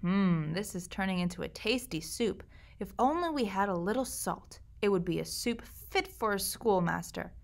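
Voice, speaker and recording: A woman voicing the youngest boy, calm and matter-of-fact. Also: medium pitch, moderate pace, creaky. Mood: musing.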